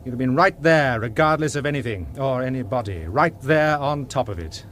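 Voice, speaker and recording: A cool, cynical intelligence official played by a male actor in a radio drama. He speaks in a mid-pitched voice with dry, needling wit and expressive intonation, over faint noise. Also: close to an everyday voice. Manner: unhurried, deadpan, needling. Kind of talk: British radio drama acting.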